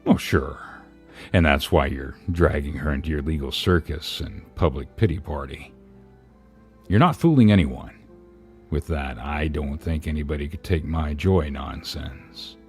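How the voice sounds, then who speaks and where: A man's voice: rumbling low, a little slow, a little mellow, slightly rough, soft background music. A man giving a sarcastic, theatrical reading of a mocking open letter as a live stream commentator.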